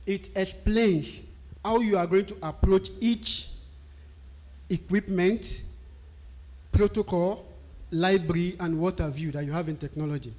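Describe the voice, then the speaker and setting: A man's voice: sits high, a little mellow. A man from Nigeria with a Nigerian accent, not a native English speaker, giving a live-stream talk that explains technical writing and often asks and answers his own questions. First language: a Nigerian language.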